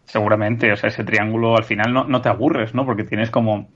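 A man's voice: fairly low pitch, fairly even intonation, fairly quick, fairly bright tone, muffled recording. A man from in a casual film discussion on a podcast, explaining at length and checking for agreement. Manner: talkative, explanatory, seeks agreement with tag questions. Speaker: podcast panelist.

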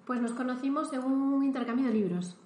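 A woman's voice: high-pitched, brisk, darkish tone. A woman with a Spain Spanish accent hosting a casual podcast interview, with loose, rambling intros. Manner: friendly, brief backchannels, loose rambling intros. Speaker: podcast host. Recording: roomy echo.

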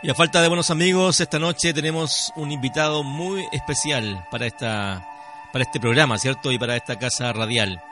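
A man's voice: mid-range voice, brisk, music in the background. A man with a Chilean accent hosting a live radio interview, addressing his guest in a courteous, formal way. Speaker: radio host.

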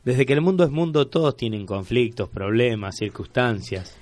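A man's voice: mid-range voice, expressive, moderate pace, darkish tone, no echo. A man from Argentina with an Argentine accent hosting a casual radio chat, repeating phrases for emphasis. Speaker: radio magazine host.

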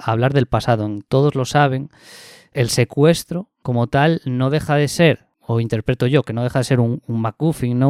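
A man's voice: medium pitch, dark tone, clear, resonant tone, dry recording, studio quality. A young man talking through a film review, thoughtful and full of fillers and restarts.

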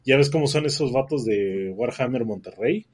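Husky northern mexican guy rambling on a podcast, lots of "este" fillers and restarts. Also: medium pitch, animated, normal speed, a little mellow. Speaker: podcast co-host. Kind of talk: casual chat.

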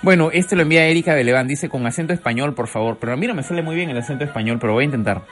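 A man's voice: a little high, very fast, fairly bright tone, faint music behind. A man hosting a comic radio segment, playfully teasing callers and reading jokes aloud. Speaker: radio host.

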